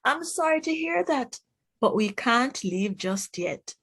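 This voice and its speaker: A woman voicing a kind, patient mother in a children's storybook, gentle, measured and reassuring. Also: high voice, sing-song, slightly rough, voice pushed up, exaggerated voice. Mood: apologetic.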